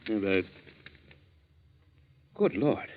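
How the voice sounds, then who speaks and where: An actor playing a well-to-do, easily shaken husband with an American accent in a 1940s radio drama, earnest and halting. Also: male voice, lowish voice, big pitch swings, full character voice. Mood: stunned, hurt. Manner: earnest, halting when troubled. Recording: muffled 1940s radio recording.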